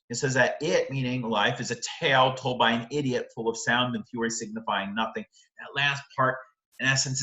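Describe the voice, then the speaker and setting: A middle-aged man teaches literature in an explanatory video lecture. His voice is mid-pitched, bright and forceful, and he leans on emphasis and rhetorical questions. The room adds audible echo around his words. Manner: emphatic, uses rhetorical questions and check-in tags. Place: indoor room, close to a webcam. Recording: roomy, echoing sound.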